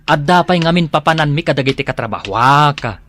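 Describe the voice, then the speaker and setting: A man's voice: on the high side, animated, a little fast, a bit bright. An actor with an Ilocano accent playing a nagging, disapproving man of the household in a comedy radio drama, full of scolding questions. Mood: nagging, impatient.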